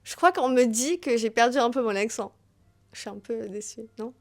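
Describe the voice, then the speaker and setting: A woman's voice: a little high, moderate pace. A young woman with a light American accent, telling her own story on a solo podcast, chatty and full of hesitations. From raised between Tahiti, Cuba and New York. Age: young adult. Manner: chatty, many hesitations and restarts. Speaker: podcast host.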